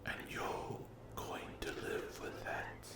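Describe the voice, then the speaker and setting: A man voicing a possessive, menacing sleep paralysis demon in an ASMR roleplay, whispering in a domineering, taunting way. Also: natural voice. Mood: possessive menace. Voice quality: breathy whisper with low creaky undertone. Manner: slow, deliberate, domineering, taunting.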